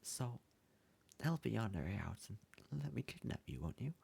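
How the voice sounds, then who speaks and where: A man's voice: fairly low pitch, sing-song, moderate pace, gravelly, darkish tone, husky, exaggerated voice. A man playing a bumbling, lovesick young yandere student in an ASMR roleplay, pleading hesitantly and stammering as he speaks to the listener.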